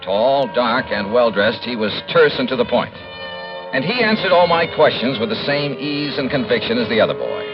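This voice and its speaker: An American actor playing a shrewd, skeptical insurance investigator in a 1950s radio drama, clipped and dry. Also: male voice, mid-range voice, very animated, normal speed. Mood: reflective narration. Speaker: lead actor and narrator. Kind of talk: 1950s radio detective acting and narration. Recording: muffled old radio recording with music.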